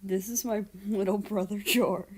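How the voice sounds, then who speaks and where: A woman's voice: medium pitch, fairly even intonation, a little slow, a little mellow, slightly rough. A woman voicing a friendly, cheerful, teasing schoolboy in a story reading.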